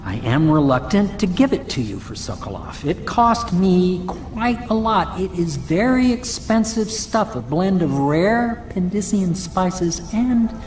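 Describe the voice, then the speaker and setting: An actor playing an awkward, fussy inventor in a video game, hesitant and stiffly formal. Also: male voice, sits high, very animated, a little slow, few pauses, darkish tone. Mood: stiff, guarded. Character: awkward, fussy male inventor and natural philosopher. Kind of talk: dramatic game cutscene dialogue.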